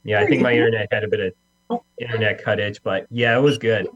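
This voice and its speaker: A man's voice: fairly low pitch, steady tone, fast talker, a bit bright. A man, a guest, chatting casually. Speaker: guest actor. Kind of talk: casual chat. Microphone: video call. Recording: dull internet call with hum.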